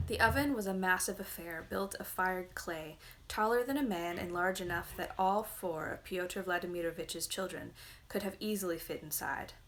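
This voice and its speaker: Female reader, slightly low voice, measured and expressive narration of a folktale-like novel. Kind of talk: literary storybook reading aloud.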